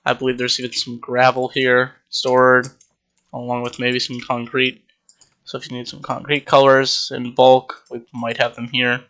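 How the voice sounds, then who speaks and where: A young man talks through a game build in a loose, rambling way, filling pauses with ums. His voice is bright and a little congested. He speaks into a desk mic. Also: medium pitch. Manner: rambling, relaxed, many ums. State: slightly congested. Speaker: Minecraft server player. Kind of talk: casual gameplay walkthrough.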